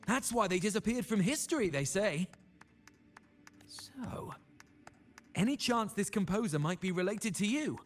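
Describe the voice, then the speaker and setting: A man voicing an inquisitive, gossiping gentleman in a video game, speaking in a pointed, theatrical, insinuating way. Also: sits high, animated, unhurried, pauses often. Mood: prying, insinuating. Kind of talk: dramatic video game voice acting. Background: background music.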